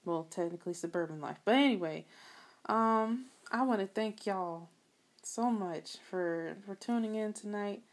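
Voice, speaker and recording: A woman hosting a solo podcast, talking casually in a soft voice, rambling a bit and chatting straight to her listeners. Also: medium pitch, unhurried. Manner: rambling, laughs often, addresses listeners as y'all. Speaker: podcast host.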